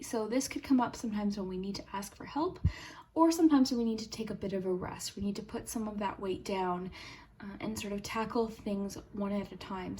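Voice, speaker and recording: A young woman with a slightly hoarse, sore throat giving a chatty tarot reading to her viewers, friendly and soft-spoken, sometimes dropping to a whisper. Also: medium pitch, slight background noise. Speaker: tarot reader and channel host. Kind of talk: chatty pick-a-card tarot reading to camera.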